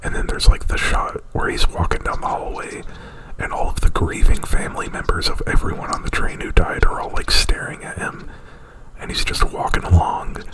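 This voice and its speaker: A man whispering an ASMR retelling of a movie plot right up at the mic, in a halting, rambling, informal way. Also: rough recording. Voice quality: breathy whisper. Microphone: very close to the mic.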